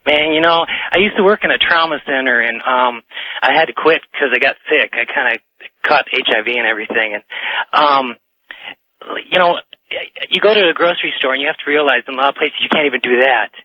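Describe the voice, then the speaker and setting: A man's voice: medium pitch, fairly quick, dry recording. A man calling in to a radio show, chatting informally in a hesitant, rambling way. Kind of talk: casual phone-in chat. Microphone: telephone. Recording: dry, muffled phone call on radio.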